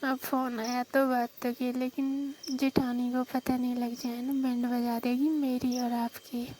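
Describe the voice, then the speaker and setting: A woman acts a shy young Rajasthani village wife with a high voice that stays very even in pitch. She talks coyly and hesitantly, as in a casual late-night phone call. The recording has faint noise and night insects. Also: unhurried, close to an everyday voice. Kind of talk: staged phone-call role-play in casual talk.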